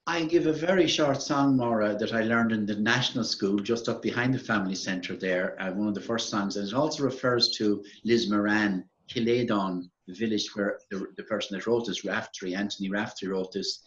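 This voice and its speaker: An older Irish man who leads a singing circle, talking gently and encouragingly with many fillers. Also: on the low side, a little fast, hardly any pauses. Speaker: music circle leader. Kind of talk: relaxed conversation. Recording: roomy, dull recording.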